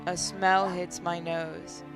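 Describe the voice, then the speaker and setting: A gaming narrator reads story text aloud with care and weight. The intonation stays fairly flat, and game music plays softly behind the voice. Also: medium pitch, slow. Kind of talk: reading visual novel narration aloud.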